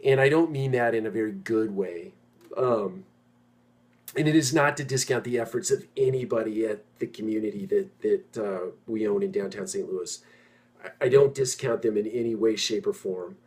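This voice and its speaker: A middle-aged man talking informally to his industry peers as an executive host, stressing points by repeating phrases and pausing mid-sentence. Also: lowish voice, a little mellow. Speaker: property management executive and host.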